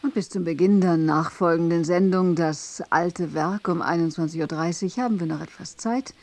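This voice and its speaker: A woman's voice: on the low side, moderate pace, darkish tone, no room sound. A woman giving a scripted continuity announcement on the radio.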